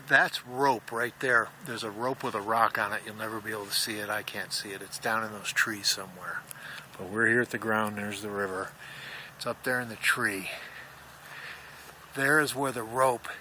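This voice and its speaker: A man's voice: lowish voice, bright, forceful tone. A man talking casually and folksily in a rambling explanation, recorded outdoors on a handheld camera as he shows his radio hobby setup. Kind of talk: casual handheld video tour. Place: outdoors in wooded mountain country. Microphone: handheld camera microphone. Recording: noisy outdoor camera audio.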